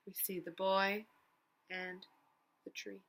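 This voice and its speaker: A woman reading a children's storybook aloud as a teacher, expressively, pausing to prompt young listeners with questions. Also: medium pitch, level tone, fairly bright tone, a little hiss or hum, poor audio.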